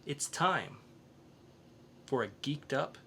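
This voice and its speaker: A man's voice: fairly high pitch, lively intonation, slow, creaky, sharp tone, a little hiss or hum. A man hosting a podcast, chatting casually about comics and films. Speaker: podcast host. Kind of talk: casual comics and film review chat.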